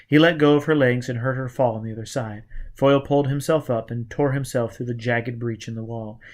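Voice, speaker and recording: Male audiobook reader, middle register, for dramatic narration of adventure fiction. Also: mid-range voice. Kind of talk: scripted dramatic narration of a novel.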